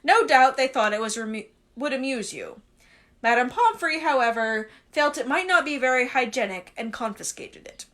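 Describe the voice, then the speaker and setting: Casting: a woman doing a gentle, wry old storybook headmaster, slow and soothing, lively lilt. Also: sits high. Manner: gentle, unhurried, dryly humorous. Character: kindly old male wizard headmaster, calm and wry. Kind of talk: reading a novel aloud.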